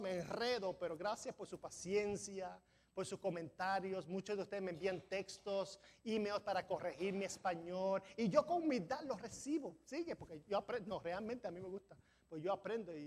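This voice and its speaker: A man's voice: high-pitched, a little mellow. A man preaching a sermon as pastor of a church, a non-native speaker who sometimes stumbles over words and builds to emphatic peaks. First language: not Spanish. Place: church sanctuary.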